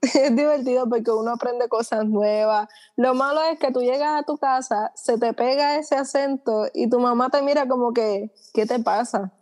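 A young Puerto Rican woman chatting casually in a group, with a Puerto Rican accent. Her voice sits a little high and sounds mellow and soft. She tells her stories with a laugh never far away. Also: normal speed. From Puerto Rico. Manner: laughing, lively storytelling. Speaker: podcast guest, student.

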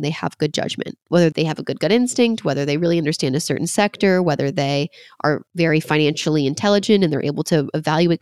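A woman's voice: low voice, no room sound, studio quality. A woman in her twenties hosting a solo podcast, talking casually with lots of "like" fillers and sounding a bit congested from a cold. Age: 20s. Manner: chatty, relaxed, frequent "like" fillers. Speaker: podcast host.

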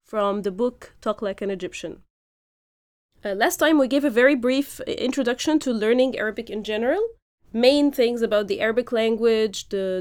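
Woman, podcast host, fairly high voice, explanatory teaching manner, frequent fillers. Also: a little mellow. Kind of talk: lecture-style podcast recap.

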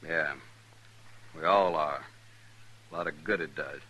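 Bitter, deep-voiced frontier townsman. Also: unhurried, bright, forceful tone, breathy edge, full character voice. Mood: bitter, grieving. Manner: curt, scornful. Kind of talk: 1950s radio western acting. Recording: old radio drama recording.

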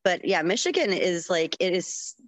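A Texan woman co-hosting a podcast, telling stories in an animated way full of "like." Her voice sits lowish and sounds close and dry. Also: normal speed, no echo. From Texas. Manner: animated, many "like" fillers. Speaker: podcast co-host. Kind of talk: casual storytelling.

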